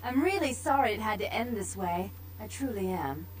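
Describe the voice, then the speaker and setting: A woman's voice: mid-range voice, animated, moderate pace, natural voice. A woman acting the vengeful sister holding court in a gothic vampire game, venomous and haughty, some noise behind her. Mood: cold regret. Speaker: video game character.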